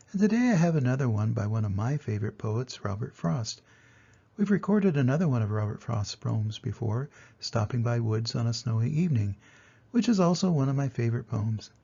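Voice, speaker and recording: An older man with a creaky, gravelly voice speaks to young grandchildren in a plainspoken storytime style. His delivery is mellow and soft-edged, with a husky grain. He addresses the children directly as he reads and explains. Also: mid-range voice, dull audio.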